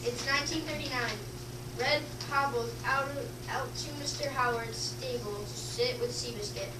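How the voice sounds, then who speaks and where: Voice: high-pitched, fairly even intonation, normal speed. A young student, a girl, reading scripted narration aloud in a readers theater. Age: child or young teenager. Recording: echoey, noisy room, distant mic.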